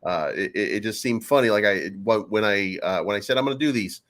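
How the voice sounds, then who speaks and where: Guy hosting a crypto stream, jokey and loose, lowish voice. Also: brisk, fairly bright tone, a little hiss or hum. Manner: joking, loose and rambling. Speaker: host. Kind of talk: casual livestream chat.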